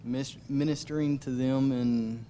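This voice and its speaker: A man's voice: mid-range voice, steady tone, a little slow, warm tone, a little hiss or hum. A man giving an informal missionary testimony in church, speaking hesitantly in short broken phrases.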